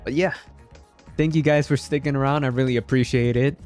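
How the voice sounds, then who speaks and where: A man from the Philippines chatting casually on a livestream, halting and repeating his words, polite and appreciative. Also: medium pitch, fairly even intonation, a little mellow, soft background music. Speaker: game streamer. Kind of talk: casual livestream chat with viewers.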